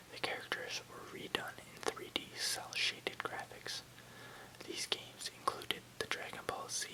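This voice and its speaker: An ASMR host reading an article aloud in a soft, breathy, unvoiced whisper, with the odd stumble over words. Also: low-quality recording. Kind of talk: whispered ASMR reading with brief casual asides.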